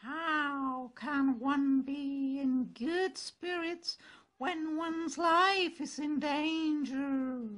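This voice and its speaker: An older woman with a German accent voicing an old, frightened female cat who whimpers and pleads slowly. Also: high voice, a bit bright, pitched above the performer's own voice, full character voice. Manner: slow, tearful, wavering pleading. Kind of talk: storybook read-aloud.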